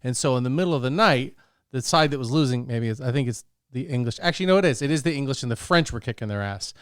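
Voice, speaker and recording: Confident man, clear and dry. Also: mid-range voice, animated, fast talker, dry recording. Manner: confident, profane. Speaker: talk show panelist. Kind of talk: casual storytelling banter.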